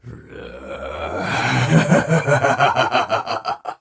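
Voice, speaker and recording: Menacing demon, very slow delivery, creaky growl, thick layered pitch-shift and chorus effect. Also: male voice, big pitch swings, a bit bright, full character voice. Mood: menacing, gloating. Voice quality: layered, gravelly. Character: menacing demon, cackling and threatening. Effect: layered pitch shifts with distortion and chorus.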